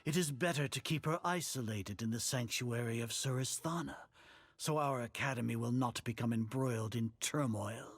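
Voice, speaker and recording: An actor playing an arrogant older grand sage in a video game, speaking in a pompous, formal and condescending way. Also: male voice, mid-range voice, normal speed, breathy edge. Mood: scornful.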